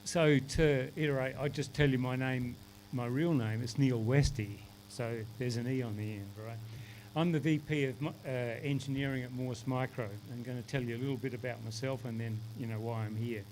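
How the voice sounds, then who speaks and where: Older Australian man giving a halting speech. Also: medium pitch, steady tone, a little mellow, a little hiss or hum, low-quality recording. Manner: halting, many fillers and restarts. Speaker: Australia Day ambassador speaker. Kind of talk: public speech at outdoor ceremony.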